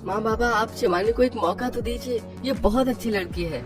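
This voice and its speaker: A woman's voice: fairly high pitch, moderate pace, distant mic, voice pushed down, lightly acted. A woman playing a polite, earnest and devoted young man in a moral story. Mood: pleading. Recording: clear music under voice.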